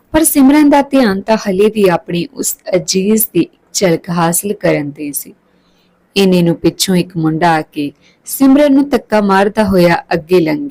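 Woman, storyteller, mid-pitched voice, scripted narration, expressive literary delivery. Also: low-quality recording.

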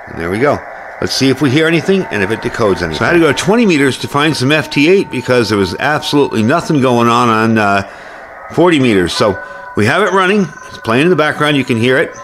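A man's voice: mid-range voice, sing-song, quick pace, noisy background. A man giving a casual hands-on gear review as host, explaining things informally, step by step.

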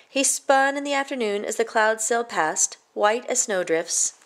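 A woman storyteller reading a children's picture book aloud in a measured, expressive way. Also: on the high side.

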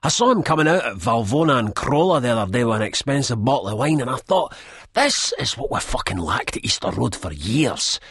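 A man's voice: medium pitch, a little fast, breathy edge, dry recording, studio quality, pitched above the performer's own voice, full character voice. Man doing a jabbering coked-up Scot. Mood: ranting. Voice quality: jabbering, excitable.

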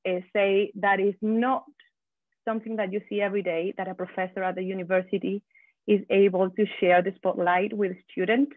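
A woman's voice: lowish voice, no echo. A woman commenting on a video call, earnest, with frequent fillers and restarts. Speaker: webinar attendee. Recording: dry, phone-like video call audio.

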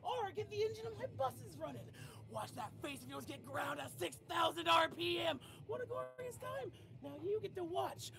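A gleeful, theatrical killer, played by a man, savors every threat in a very high, gravelly voice. He leans into each gruesome line. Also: big pitch swings, moderate pace, full character voice. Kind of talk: live scare acting.

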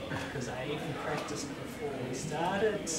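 A man's voice: on the high side, animated, a little slow. A man in his thirties with a New Zealand accent, giving a casual, self-deprecating talk to a meetup in a small brick bar room, heard from a far camera. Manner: self-deprecating, joking, many ums. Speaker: statistics lecturer giving a talk. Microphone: far camera, no mic. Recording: echoey room from far off, crowd.

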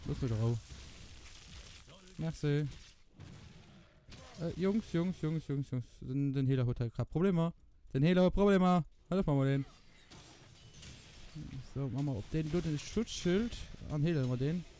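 A man with a Luxembourgish accent giving casual gameplay commentary, excitable and repeating words in quick bursts. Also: fairly high pitch, a little hiss or hum. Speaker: gamer commentating own play.

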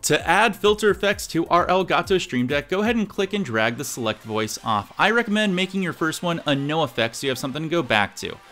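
An energetic man in his thirties presenting an upbeat scripted tech tutorial, addressing viewers directly. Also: fairly high pitch, fast talker, few pauses. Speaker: video host. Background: music.

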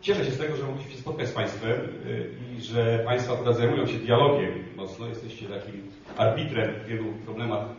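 A man's voice: medium pitch, fairly quick, background noise. An older man speaking to the room at a meeting through a handheld microphone, polite and hesitant, with fillers. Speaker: participant at a meeting. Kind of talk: public remarks at a meeting. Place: hotel conference room. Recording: roomy, distant, dull hall sound.

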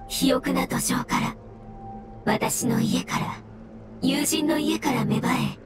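A woman voicing a gentle, melancholy floating mushroom spirit in an anime game, with polite, solemn delivery. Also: high-pitched, takes it slowly, over loud music. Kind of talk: Japanese anime game voice acting.

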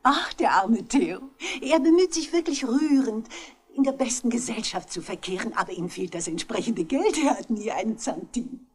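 A woman's voice: fairly high pitch, animated, normal speed, husky. An elderly, well-bred widow in a vintage radio play. Her delivery swings expressively up and down, theatrical and gracious, sweetly coaxing with a sly edge. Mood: wry candour. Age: older. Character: genteel elderly French widow, charming, sly, sweetly manipulative. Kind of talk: 1960s German radio crime drama acting.

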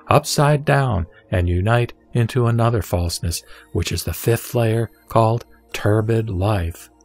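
A man reciting Buddhist scripture as scripted narration, formal and measured, in short deliberate phrases. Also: mid-range voice, darkish tone. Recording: background music under the voice. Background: music.

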